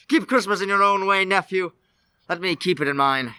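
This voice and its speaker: A man playing a bitter, miserly old man in a radio play, gruff, scoffing and theatrical. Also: high voice, lively intonation, unhurried, pushed and bright, dry recording, full character voice. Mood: bitter, scornful.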